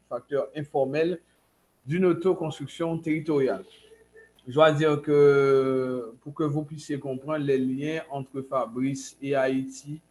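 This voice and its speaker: A man's voice: on the high side, a little mellow. Man hosting an online conference. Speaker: host introducing a conference speaker. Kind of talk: spoken introduction on an online conference. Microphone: video call.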